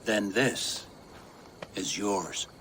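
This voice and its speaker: Slow, solemn old village king. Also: low voice, fairly bright tone, slight background noise, heavily acted. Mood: tender, resigned. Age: older. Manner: measured, ceremonial delivery. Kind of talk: dramatic game cutscene acting.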